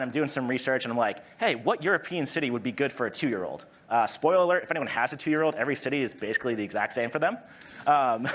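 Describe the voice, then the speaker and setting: Fast, animated Massachusetts man presenting. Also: mid-range voice. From Massachusetts, USA. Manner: energetic, conversational, frequent "right?" tags. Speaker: conference speaker. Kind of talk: conference presentation. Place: conference stage before an audience.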